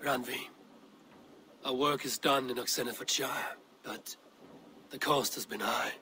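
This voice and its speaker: A man playing a stern, commanding Viking clan leader in a video game, speaking in a firm, measured, authoritative way. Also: medium pitch, steady tone, sharp tone, slight background noise. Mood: grave, resolute. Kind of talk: dramatic video game acting.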